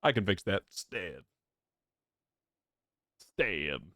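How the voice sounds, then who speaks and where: A man's voice: low voice, big pitch swings, very slow, pushed and bright, dry recording, studio quality. A man streaming a video game playthrough with casual, slangy, teasing commentary. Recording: game music behind voice. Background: game music.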